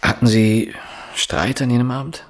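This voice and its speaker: An actor playing a persistent, soft-spoken police inspector in a radio drama, questioning in a probing, measured way. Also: male voice, medium pitch, steady tone, lightly acted. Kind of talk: German radio drama acting, quiet interrogation.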